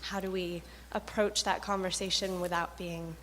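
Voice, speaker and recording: A woman's voice: fairly low pitch, flat delivery, moderate pace, fairly bright tone, a little hiss or hum. A woman in the audience who works with a community, asking an earnest, thoughtful question. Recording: reverberant hall with faint ambience.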